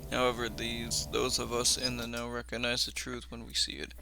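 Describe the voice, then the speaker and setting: A man's voice: mid-range voice, flat delivery, moderate pace, creaky, bright, forceful tone, slight background noise. A young man hosting a reaction video, casually commenting and reading text aloud.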